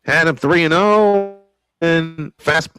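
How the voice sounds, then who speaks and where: A man's voice: fairly high pitch, slow pace, bright, forceful tone, faint music behind. An American man doing live old-school baseball play-by-play commentary, mixing calls with casual asides. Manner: old-school broadcast delivery. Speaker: play-by-play sportscaster. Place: small home-style studio.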